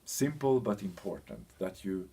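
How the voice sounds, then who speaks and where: A man with a deep, somewhat rough-edged voice presents training material. He talks in an explanatory way, halting often to find the right word. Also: sing-song, warm tone. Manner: hesitant, halting, searching for words. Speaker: presenter of a language training package. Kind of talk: explanatory presentation, loosely scripted.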